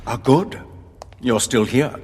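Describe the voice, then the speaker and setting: An actor playing a polite, self-satisfied, scheming official in an audio drama, husky and insinuating. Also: male voice, mid-range voice, takes it slowly, in a large hall, background noise, lightly acted. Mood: smug.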